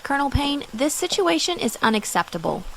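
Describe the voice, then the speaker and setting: A woman's voice: sits high, very animated, fairly quick, vocal fry, a bit bright, a little hiss or hum, exaggerated voice, low-quality recording. An actress playing an indignant, haughty woman supplier who complains and demands.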